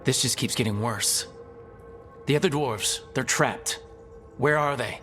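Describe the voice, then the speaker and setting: A man playing a steady, encouraging adventurer in a video game, speaking plainly. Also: medium pitch, a little slow, faint music behind, close to an everyday voice. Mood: uneasy. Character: steady, plain-spoken male adventurer leading companions.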